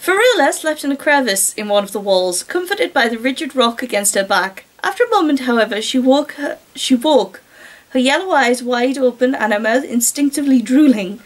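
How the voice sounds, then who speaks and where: A woman reading a story aloud and breaking off for casual, sarcastic commentary. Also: sits high. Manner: wry, sarcastic asides.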